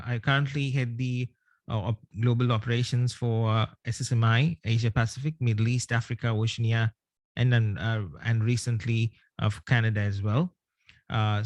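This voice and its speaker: A man's voice: medium pitch, dry recording. A man from Sri Lanka, giving an online presentation over a video call with many hesitations. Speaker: guest speaker.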